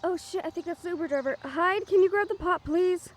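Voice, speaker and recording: An actress playing a friendly, brisk woman medium who runs a haunted house, chatty and matter-of-fact in an audio roleplay. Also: very high-pitched, background noise.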